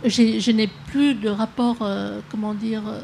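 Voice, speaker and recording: Hesitant high-voiced woman author, native Vietnamese speaker. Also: steady tone, vocal fry, slight background noise. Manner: hesitant, soft-spoken, many halting restarts. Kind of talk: reading aloud, then answering questions. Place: public talk with an audience.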